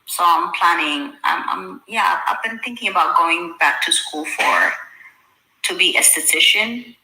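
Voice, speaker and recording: Bright-voiced Ethiopian woman livestreaming, echoey room. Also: fairly low pitch, animated, measured pace. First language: Amharic. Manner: informal, thinking aloud, addressing viewers with endearments. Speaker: social media livestreamer. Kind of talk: casual livestream chat. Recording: roomy, echoey sound.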